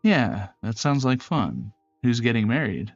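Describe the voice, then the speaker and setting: A man voicing a polite, reserved young male prosecutor in a visual novel, speaking in a measured, earnest way. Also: medium pitch, sing-song, creaky, dry recording, dull audio, soft background music, heavily acted. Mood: warm, agreeable. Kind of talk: visual novel character voice acting.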